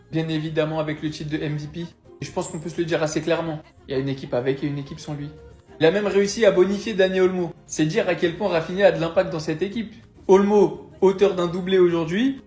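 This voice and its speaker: A man with a fairly high voice talks football with a teasing, cocky swagger. His voice rings around an echoey room, and soft music plays underneath him. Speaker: football YouTuber, Barça supporter. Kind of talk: casual video commentary.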